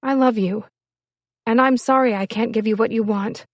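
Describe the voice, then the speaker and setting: An audiobook heroine, young and in love, acted out by a woman reader. She is earnest and hesitant, pleading her case with insistent emotion. The voice sits slightly high in a very dry recording. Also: fairly even intonation, moderate pace, no room sound, close to an everyday voice. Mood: tender, honest. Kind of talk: audiobook character dialogue.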